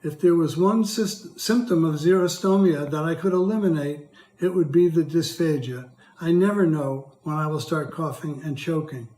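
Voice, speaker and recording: An older man with a hoarse, dry voice, reading prepared testimony as a patient in a measured, careful way. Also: a little high, warm tone, echoey room. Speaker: patient speaker. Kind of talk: prepared testimony read aloud.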